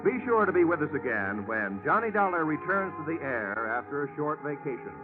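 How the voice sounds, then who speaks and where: A man's voice: on the high side, big pitch swings, a little fast. An American man working as a radio announcer, with polished, formal 1940s network delivery. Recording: tinny vintage radio recording with music.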